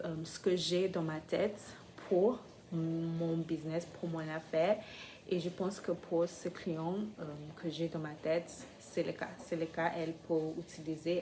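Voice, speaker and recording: Low-voiced woman, slow and hesitant. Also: a little hiss or hum. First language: not French. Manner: hesitant, many pauses and fillers, searching for words. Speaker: French learner vlogger. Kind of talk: unscripted vlog about learning French.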